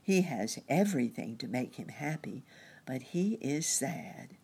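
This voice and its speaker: A woman's voice: rumbling low, very animated, measured pace, creaky, darkish tone, breathy edge, full character voice. A woman voicing a caring muskrat lady nurse in a storybook, fussing in an anxious, earnest, sympathetic way.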